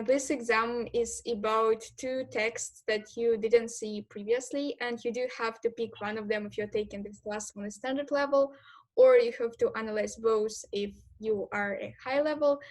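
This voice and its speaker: A young woman student explaining exam assignments to future students in a steady, methodical way. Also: a little high. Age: young adult. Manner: steady, methodical, with occasional fillers. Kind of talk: informal explainer talk to prospective students.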